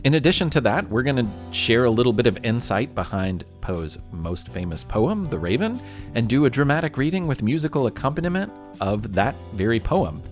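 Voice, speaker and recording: A man's voice: mid-range voice, sing-song, brisk, faint music behind. A man hosting and narrating a history podcast for children, reading a script in a conversational, playfully dramatic way.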